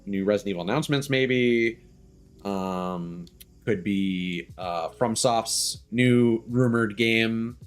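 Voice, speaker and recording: Casting: male streamer, lower-pitched, hesitant casual chat that meanders, faint music behind. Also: takes it slowly, clean tone. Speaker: game live streamer.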